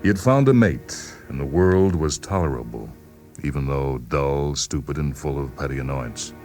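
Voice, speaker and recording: A man narrating a literary short story in a deliberate, brooding way with dramatic pauses. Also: a bass voice, lively intonation, darkish tone. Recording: music behind the voice. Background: music.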